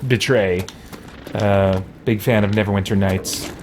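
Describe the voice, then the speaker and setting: A man's voice: lowish voice, steady tone, measured pace, noisy background. A man doing casual, conversational live commentary as he streams a video game. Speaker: let's play streamer.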